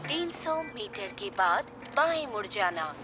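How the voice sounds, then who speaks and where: A woman voicing an impassive navigation machine in a cartoon, giving deliberate instructions. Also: mid-range voice, very animated, background noise.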